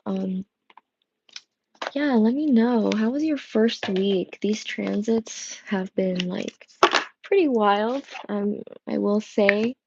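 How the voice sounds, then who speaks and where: Slow, gravelly, strained woman tarot reader. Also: mid-range voice, highs cut off, noisy background. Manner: rambling, many fillers and restarts. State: voice strained and worn. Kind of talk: casual livestream chat. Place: home room with coffee machine. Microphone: earbud headset mic.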